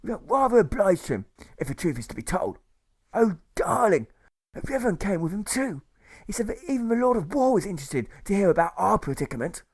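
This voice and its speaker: A man voicing a posh, excitable, quaintly polite nurse with effusive period slang in an audiobook. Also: sits high, brisk, slightly rough, voice pushed up, heavily acted. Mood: gushing delight.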